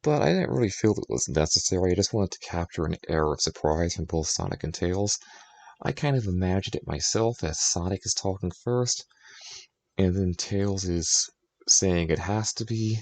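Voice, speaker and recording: Low gravelly guy streaming his writing, thinking out loud, explaining stuff casually. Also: lively intonation, normal speed, no room sound. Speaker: streamer writing fan fiction.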